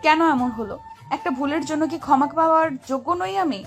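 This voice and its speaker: Casting: woman to play young wealthy lady boss in love, high-pitched, pleading and strong-willed, audio romance with background music. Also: fast talker. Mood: hurt, resolving. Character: heartbroken but determined young rich woman boss in love. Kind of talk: romantic audio story acting. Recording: music behind the voice.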